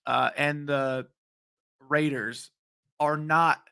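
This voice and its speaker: Late 20s dude, kinda hoarse, bright forceful voice, rambles thru nfl picks, dead dry room. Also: male voice, fairly high pitch, steady tone, very slow, no room sound. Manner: casual, rambling, many ums. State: slightly hoarse voice. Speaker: sports betting show host. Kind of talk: live-streamed betting picks talk.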